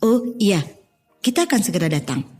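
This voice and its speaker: A woman's voice: medium pitch, big pitch swings, normal speed, a little mellow, slightly rough, faint music behind. A woman voicing an obliging, flustered female ambulance doctor in a children's audio story.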